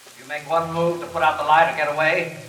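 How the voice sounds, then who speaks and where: A taunting murderous theater owner. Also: female voice, deep voice, level tone, fast. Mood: taunting menace. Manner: quiet, taunting, sinister. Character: cold murderous theater owner woman, menacing. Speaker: radio actor. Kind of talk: 1940s radio acting. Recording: echoey, distant, hissy old radio recording.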